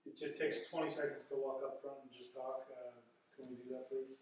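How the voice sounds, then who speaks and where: A man's voice: mid-range voice, flat delivery, a little fast, a little mellow, echoey room, slight background noise, rough recording. A man coordinating a spiritual gathering, passing on questions from online viewers and calling on people in the room. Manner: practical, coordinating. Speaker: event organizer relaying online questions. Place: room with a live audience.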